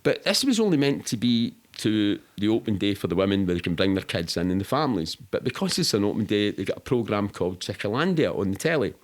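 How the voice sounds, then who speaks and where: A middle-aged man with a Scottish accent speaks in a fairly low voice. He tells stories as a guest in a casual interview, rambling along in everyday colloquial speech with some swearing. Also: sing-song, quick pace, hardly any pauses. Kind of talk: casual anecdotal storytelling.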